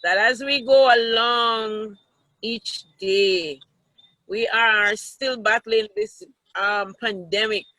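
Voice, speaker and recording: A woman's voice: on the high side, slow pace, pushed and bright, no echo, rough recording. A woman talking to children as a storytime teacher, in an affirming, reflective way. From Jamaica. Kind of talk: live talk to children.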